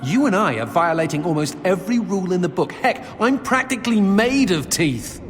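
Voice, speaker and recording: An actor playing a wisecracking talking demon skull in a video game, with a florid, droll storyteller's delivery. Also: male voice, sits high, very animated, a little fast, noisy background. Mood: cheeky. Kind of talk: scripted video game acting.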